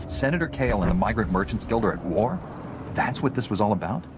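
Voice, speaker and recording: Principled game hero, very low male voice, composed and earnest. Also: sing-song, fast talker, noisy background. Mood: serious. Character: calm, principled male Jedi knight hero. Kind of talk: video game cutscene acting.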